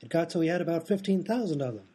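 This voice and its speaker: A man's voice: fairly high pitch, lively intonation, rapid-fire, mellow. A man playing an absent-minded, oblivious hospital doctor who rambles matter-of-factly in an absurd radio comedy sketch. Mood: absent-minded, breezy. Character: daft, absent-minded male hospital doctor, cheerfully off-topic.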